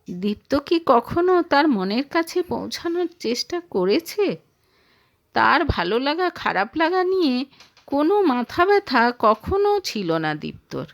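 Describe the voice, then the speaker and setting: Female audio story narrator, highish voice, slow delivery, emotive and dramatic.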